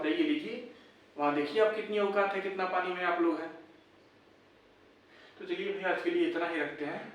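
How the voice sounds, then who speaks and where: A man's voice: on the high side, a bit bright. A man, giving informal, blunt, argumentative commentary as an online host, full of rhetorical questions. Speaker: YouTube exam-update host. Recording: echoey room, distant mic.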